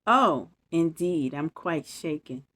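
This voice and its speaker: A woman's voice: deep voice, animated, takes it slowly, a bit bright, heavily acted. Woman reading as a small fussy mouse. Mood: mock-shaken. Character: small nervous male mouse, eager and fussy.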